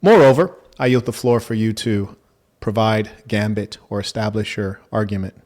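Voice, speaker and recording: A man hosting a debate, speaking in a measured, formal manner and asking probing questions. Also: lowish voice, a little mellow. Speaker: debate host.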